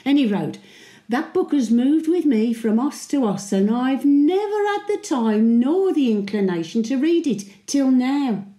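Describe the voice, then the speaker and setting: A woman's voice: high-pitched, lively intonation, unhurried, dark tone, roomy sound. A woman playing a feisty, sentimental hundred-year-old Birmingham widow with a Brummie accent, chatting wryly in a dramatic monologue to her late husband. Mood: reminiscing. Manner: chatty, wry, colloquial storytelling. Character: feisty, sentimental centenarian widow, former Birmingham market trader.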